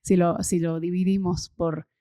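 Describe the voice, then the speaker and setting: A Venezuelan woman in her thirties, talking enthusiastically as an interview guest and often pausing with fillers and repeated words. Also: fairly low pitch, level tone, dark tone, dry recording, studio quality. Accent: Venezuelan Spanish. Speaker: guest, social impact consultant. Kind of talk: casual interview conversation.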